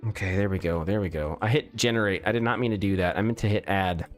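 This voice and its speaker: A man's voice: low voice, quick pace, faint music behind. A young man chatting casually on a live stream while building a game, exclaiming at mistakes and restarting his sentences, speaking into a studio mic. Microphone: studio mic with pop filter.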